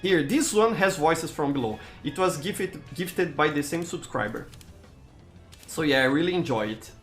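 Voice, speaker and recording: A man with a Brazilian accent, a native Portuguese speaker, chatting casually on a gaming live stream in a halting way, stammering and repeating words. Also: a little high, animated, a bit bright, faint music behind. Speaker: live-streamer hosting gameplay.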